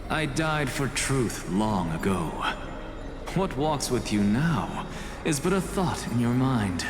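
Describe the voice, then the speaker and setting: A man's voice: medium pitch, big pitch swings, slow. A man playing a proud, wry professor in a fantasy game, theatrical, erudite and sardonic. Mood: teasing, detached. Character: proud, wry male scholar professor, a spectral thought. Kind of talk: dramatic game voice acting. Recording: echoey hall reverb with music behind. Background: music.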